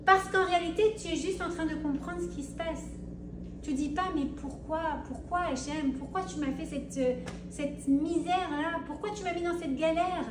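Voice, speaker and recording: A middle-aged woman teaches Torah with a high, lively voice and acts out her listeners' pleas. She is heard from a distance in an echoing room. A clear hiss runs under her voice. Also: moderate pace. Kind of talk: spoken Torah class to women. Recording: roomy, distant mic with hiss.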